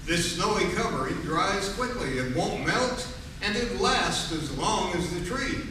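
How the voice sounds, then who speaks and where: A man's voice: high voice, animated, unhurried. A man playing an enthusiastic 1940s radio announcer, reading from a script on stage. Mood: polished, enthusiastic. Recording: distant, echoey, noisy hall.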